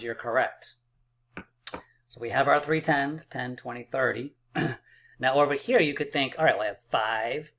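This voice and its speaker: A man teaching a math lesson for children, upbeat and encouraging, a little hoarse and clearing his throat. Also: mid-range voice, fairly bright tone. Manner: upbeat and encouraging, playful drum rolls and counting aloud. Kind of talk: math lesson video for kids.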